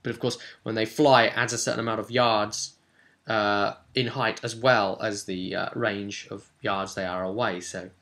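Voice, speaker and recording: A man telling a casual recap of a tabletop role-playing session as its game master, with animated asides and rhetorical questions. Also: on the low side, moderate pace.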